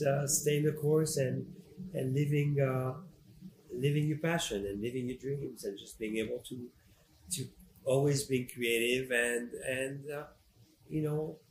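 French-accented man, halting, in an echoey room. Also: mid-range voice. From Morocco and France. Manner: halting, repeats phrases. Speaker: fashion designer, interview guest. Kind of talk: conversational interview. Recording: echoey room with faint background noise.

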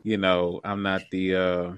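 A man's voice: deep voice, completely flat delivery, unhurried, clean tone, dry recording. A man hosting an interview podcast in a casual, informal way, with plenty of conversational asides. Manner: informal, frequent "you know" asides. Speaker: podcast host.

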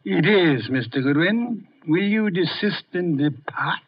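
Casting: pompous older master detective, fairly high voice, ornate and commanding, muffled old radio drama sound. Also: male voice, animated, a little slow. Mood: testy, amused. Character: pompous, imperious older male detective genius, drily amused. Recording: dull 1950s radio recording.

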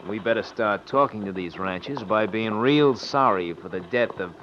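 An actor playing a sly western crook, a swindler's partner, in an old-time radio drama. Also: male voice, lowish voice, moderate pace, close to an everyday voice. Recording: muffled, very noisy vintage radio recording.

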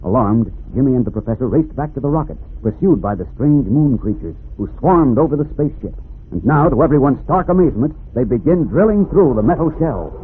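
A man's voice: medium pitch, expressive, brisk. An American man narrating a 1940s radio serial in a dramatic, declamatory announcer's manner. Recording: vintage radio, narrow band, faint noise.

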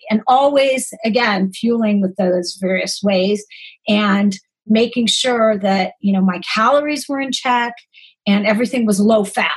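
A woman's voice: medium pitch, slightly rough, low-quality recording. A woman, telling her own story conversationally as an interview guest. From born in Greece, grew up in the United States. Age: 40s. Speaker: podcast guest, holistic nutritionist.

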